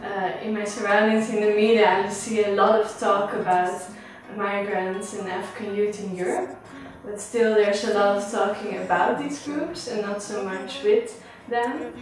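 A woman's voice: mid-range voice, steady tone, measured pace, faint music behind. Young woman from the Netherlands, sincere plain delivery, echoey distant sound. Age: young adult. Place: indoor room. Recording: echoey room, mic at a distance.

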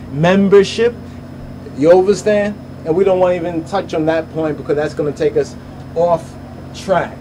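A man giving an informal religious language lesson over slides, sounding out words slowly and repeating them, and checking in with "you understand?" after nearly every point. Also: on the high side, mellow, slight background noise, rough recording. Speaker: bible study teacher.

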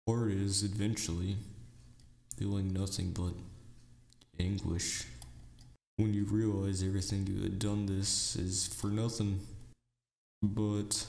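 A man's voice: sits low, flat delivery, gravelly, a little hiss or hum, heavily acted. A man voicing a bitter, world-weary, menacing skeleton in a brooding, dramatic fan comic dub monologue. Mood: bitter, grim. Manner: brooding, deliberate. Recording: echoing voice under loud music.

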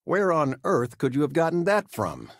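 An actor playing an affable, proud older father, a retired teacher in a video game, speaking fondly with gentle wry humor. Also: on the high side, wildly swooping pitch, moderate pace, dry recording, studio quality, exaggerated voice. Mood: warm, reassuring. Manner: fond, reassuring, gently joking. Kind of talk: video game character dialogue.

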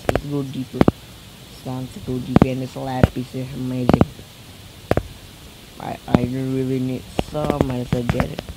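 A teenage boy talks his way through a mobile game in a casual, rambling way, with a mid-range voice. His voice sounds weak and a little worn, as if he is still getting over being sick. Loud hiss and noise sit under the recording. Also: medium pitch, monotone, normal speed. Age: teens, fourteen. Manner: rambling, chatty, many restarts. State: weak voice from recent illness. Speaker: gameplay commentator. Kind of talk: casual let's-play commentary.

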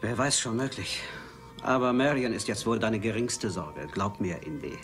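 An actor playing an older museum colleague and mentor in a film dub, cautious, earnest and measured. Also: male voice, lowish voice, fairly bright tone, soft background music, natural voice. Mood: grave, warning. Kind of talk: dubbed film acting.

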